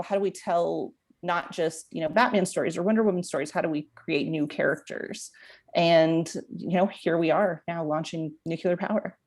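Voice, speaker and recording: A woman's voice: fairly low pitch, a little mellow. An American woman telling a story conversationally in an interview, chatty, with plenty of "like" and "you know". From Florida, United States. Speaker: comic book and TV writer, podcast guest.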